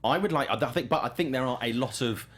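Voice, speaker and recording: A man's voice: medium pitch, quick pace, fairly bright tone. A man hosting a casual film talk show, opinionated and enthusiastic, with some swearing. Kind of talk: casual entertainment discussion.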